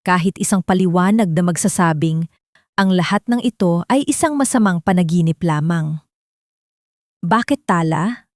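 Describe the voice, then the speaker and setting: A woman's voice: fairly low pitch, normal speed, a little mellow, no echo, clean studio sound. A woman narrating a scripted story in a dramatic storytelling style.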